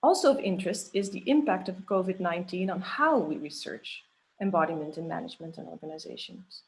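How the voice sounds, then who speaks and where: A woman's voice: lowish voice, gravelly, slight background noise. Woman moderator reading an introduction. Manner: measured, listing questions. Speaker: webinar moderator. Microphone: video call.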